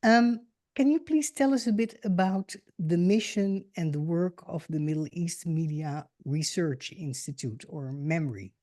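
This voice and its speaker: A woman hosting a podcast interview. Her voice sits low for a woman and sounds mellow and warm. She speaks politely and formally as she introduces her guest and asks questions. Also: a little slow. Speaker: podcast host.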